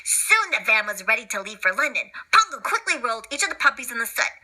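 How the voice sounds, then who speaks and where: A woman reading a children's story aloud as storyteller, in an animated, dramatic and expressive way. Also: on the high side, quick pace, low-quality recording.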